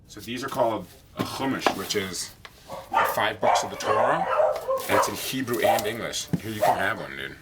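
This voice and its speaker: A man's voice: on the low side, lively intonation, normal speed, very noisy. A young man, the son making the film, talks about his family in a casual way, with many fillers. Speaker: filmmaker and son. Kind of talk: casual documentary narration.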